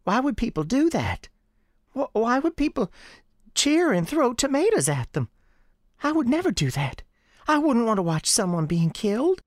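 A woman voicing a young girl with a high girlish voice and a folksy, childlike storytelling manner in an audio drama. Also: sing-song, moderate pace, no echo, studio quality. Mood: troubled. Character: young Southern girl Sarah, earnest, defiant, chatty. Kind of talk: acted audio drama dialogue.

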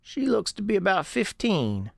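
A man playing a folksy, watchful old American storekeeper who is gentle with children, telling his story in a radio drama. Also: high voice, huge pitch swings, normal speed, creaky, no echo, studio quality, exaggerated voice. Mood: calm, observant. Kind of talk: first-person scripted storytelling in radio drama.

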